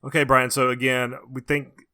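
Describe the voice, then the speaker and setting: Male co-host, mid-range bright voice, casual chat delivery. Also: medium pitch, level tone, moderate pace, no room sound, studio quality. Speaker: podcast co-host.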